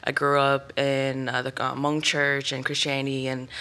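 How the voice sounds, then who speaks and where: A woman in her thirties talks on an arts panel, her voice bright and forward. She wanders through her thoughts with frequent ums and restarts, speaking into a table microphone on a stage. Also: rumbling low, completely flat delivery, moderate pace. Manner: rambling, many ums and restarts. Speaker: panelist artist. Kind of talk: panel talk. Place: stage at an indoor arts venue.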